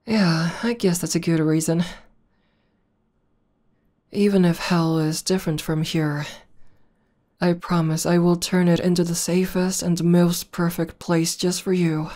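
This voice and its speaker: Woman playing a low, slow-spoken demon girlfriend. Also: flat delivery, close to an everyday voice. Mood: tender, affectionate. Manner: soft, halting, tender delivery. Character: tough, devoted tomboy female war demon girlfriend. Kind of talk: intimate ASMR roleplay acting.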